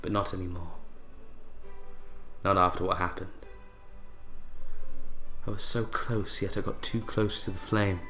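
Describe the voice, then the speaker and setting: An actor playing a bitter, broken widower in a radio play monologue, brooding and theatrical, with deliberate pauses. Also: male voice, sits low, flat delivery, pushed and bright, faint music behind, exaggerated voice. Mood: resigned, wounded. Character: bitter, broken widower recounting his unhappy marriage.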